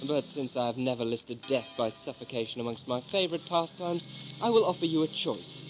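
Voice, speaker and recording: A man's voice: medium pitch, a little fast, music in the background, close to an everyday voice, poor audio. A man playing a cold, courteous plotter in an audio drama, speaking with formal, drily menacing precision. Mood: cold menace.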